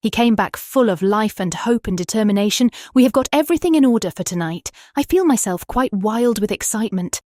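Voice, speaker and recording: A woman with a British accent playing a tender young Victorian wife, reading her diary in an earnest, expressive, formal way for a dramatised audiobook. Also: mid-range voice, brisk, few pauses, no room sound, clean studio sound, lightly acted.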